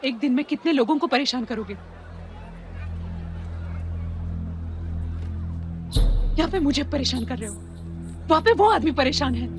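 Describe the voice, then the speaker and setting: A high female voice with faint music behind it: a weary, wounded wife in a film drama. She is earnest, firm and full of reproach, speaking plainly. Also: lively intonation, fast. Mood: fed up. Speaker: actor in a film.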